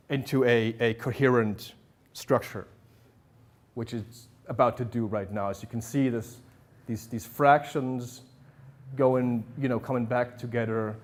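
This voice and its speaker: A man's voice: mid-range voice, darkish tone. A man giving an informal lecture to art students, talking hesitantly with many fillers and restarts. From Germany. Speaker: visiting artist lecturer. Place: classroom at an art academy.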